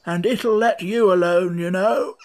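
A man voicing a very old frog servant who mutters in a hoarse, whispery, slow drawl for a storybook. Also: sits high. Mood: grumbling.

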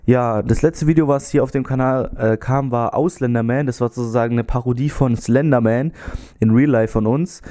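A young man with a slight cold talks casually into a handheld mic held close to his mouth. His voice is dark and mellow, at an ordinary middle pitch. He rambles along with plenty of fillers in a small bedroom. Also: steady tone, normal speed. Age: young adult. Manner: rambling, many fillers, gestures while talking. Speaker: YouTuber. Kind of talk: casual vlog chat. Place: small bedroom with TV and gaming setup.

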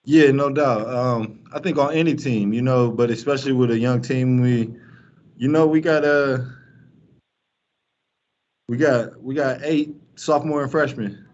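A young male college basketball player answering postgame press questions, flat and halting, with many fillers and restarts. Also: medium pitch. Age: young adult. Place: press room in front of a sponsor backdrop. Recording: dull lo-fi stream with faint noise.

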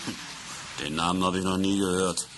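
An actor playing a fussy, rule-bound bus conductor, talking in a pedantic, doubtful way. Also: male voice, deep voice, flat delivery, a little fast, a bit bright, slight background noise.

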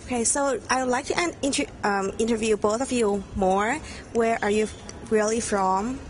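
Young woman asking students questions, a bit high, faint room noise. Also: moderate pace, low-quality recording. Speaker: interviewer. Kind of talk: event interview. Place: large bright room at a game jam. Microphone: handheld mic.

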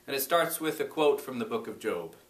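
A middle-aged man reading aloud from an inspirational book, deliberate and dramatic, with emphatic pauses. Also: mid-range voice, lively intonation, fairly bright tone. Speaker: reader, blog creator. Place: small bright room indoors. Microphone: camera a few metres away. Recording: roomy echo, distant microphone.

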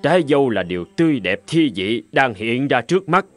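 Casting: male actor for earnest man of an old cartoon fable, declamatory, very lively intonation, music underneath. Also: medium pitch, brisk, natural voice. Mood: reflective. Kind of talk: animated folk tale dub. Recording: music behind the voice.

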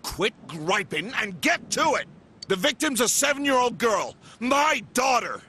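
A man playing a gruff middle-aged detective in a video game, a desperate, short-tempered father barking urgent orders. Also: very high voice, big pitch swings, measured pace, sharp tone, heavily acted. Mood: urgent, angry. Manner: brusque, commanding, urgent. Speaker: police detective character. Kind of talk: dramatic game voice acting.

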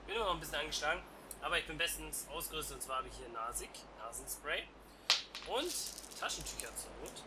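Young male let's player, slightly high voice, stuffy cold, noisy home headset. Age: young adult. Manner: chatty and relaxed. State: congested with a cold. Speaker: let's play host. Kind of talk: casual game commentary.

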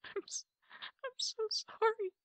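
A woman playing an apologetic, emotional girlfriend in an intimate ASMR roleplay, speaking softly and haltingly. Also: no room sound, close to an everyday voice. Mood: tearful pleading. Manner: halting, soft, breathy delivery with pauses. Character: apologetic, tearful girlfriend pleading to make up. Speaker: roleplay audio performer.

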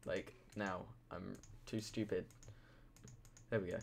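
A man's voice: sits low, level tone, slow, creaky, a little hiss or hum. A young man just woken up, rambling casually and drowsily as he comments on his gameplay. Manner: rambling, drowsy, self-mocking. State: just woken up, deep morning voice.

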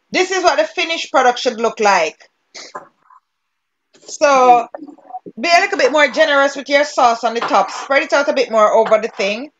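A woman's voice: high-pitched, fairly bright tone, muffled recording, slight background noise. A woman with a Jamaican accent teaching a cooking class over a video call, giving step-by-step directions and laughing easily. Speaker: cooking instructor.

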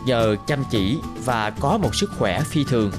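A man's voice: mid-range voice, lively intonation, measured pace, lightly acted. A man narrating a scripted fairy tale for a cartoon. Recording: music behind the voice.

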